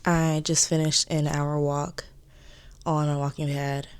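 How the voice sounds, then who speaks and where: A woman's voice: deep voice, flat delivery, measured pace, over loud music. A young woman vlogging in a casual, chatty way, sounding congested and stuffy, rambling and repeating herself. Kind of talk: casual vlog chat.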